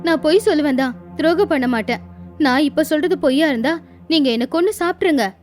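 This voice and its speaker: A woman's voice: high-pitched, brisk, a little mellow, soft background music, lightly acted. A woman voicing a cunning, bold female goat who taunts in a cartoon fable. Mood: pleading.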